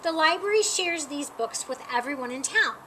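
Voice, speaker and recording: A kindly lady schoolteacher from a picture book read by a woman talks in a high, singsong-lively voice. She guides her class with affection, over clearly audible background noise. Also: normal speed. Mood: affectionate, guiding. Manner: affectionate, guiding. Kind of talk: storybook character read aloud.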